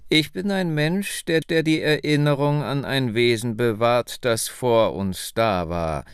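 Man voicing a slow, deliberate hundred-year-old woman storyteller. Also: medium pitch, clean tone, dry recording, clean studio sound, close to an everyday voice. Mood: solemn.

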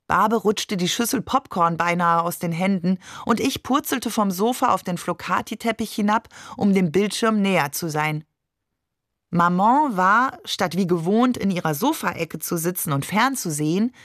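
A woman's voice: mid-range voice, animated, measured pace, no echo, clean studio sound. A woman reading a literary story as scripted audiobook narration.

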